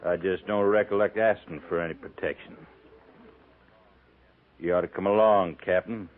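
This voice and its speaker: An actor playing a cocksure, sardonic frontier buffalo hunter with folksy western phrasing in a 1950s radio drama. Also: male voice, deep voice, fairly even intonation, normal speed, close to an everyday voice. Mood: defiant needling. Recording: tinny, band-limited 1950s radio recording.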